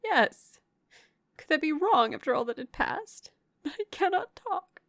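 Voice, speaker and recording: A woman's voice: sits high, big pitch swings, measured pace, fairly bright tone, no room sound, clean studio sound, voice pushed up, exaggerated voice. A woman narrator voicing an anguished, heartbroken young woman from a classic novel, passionate and exclaiming wildly. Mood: agitated. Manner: wild, exclaiming, lamenting.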